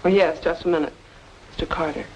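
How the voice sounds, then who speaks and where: An actress playing a brisk, helpful young office secretary in an old radio drama. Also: female voice, deep voice, steady tone, a little mellow, close to an everyday voice. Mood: businesslike. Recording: muffled, noisy old radio recording.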